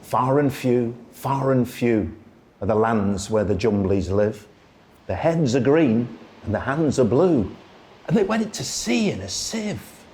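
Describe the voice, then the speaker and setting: A man reciting a children's poem as a storyteller, in a theatrical, singsong way with drawn-out phrasing. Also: mid-range voice, animated, a little mellow. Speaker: storyteller, radio presenter. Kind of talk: expressive children's poetry recitation.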